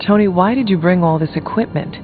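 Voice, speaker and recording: A calm, earnest male hero voiced by a man with a high voice for a man. He speaks formally and literally, as a serious leader in game dialogue. The recording is narrow and muffled, with prominent music underneath. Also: a little fast, natural voice. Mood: earnest, questioning. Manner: formal, literal, avoids contractions. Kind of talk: video game dialogue acting.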